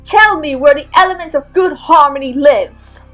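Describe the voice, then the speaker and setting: A woman voicing a menacing, coolly scornful mare villain with slow, formal phrasing in an animated-pony story. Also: sits high, faint music behind.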